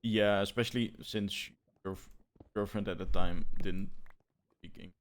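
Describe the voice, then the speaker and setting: A man with a low-pitched voice chats casually on a podcast. He speaks haltingly, often repeating and restarting words as he searches for what to say. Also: steady tone. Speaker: podcast co-host. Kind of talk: casual chat.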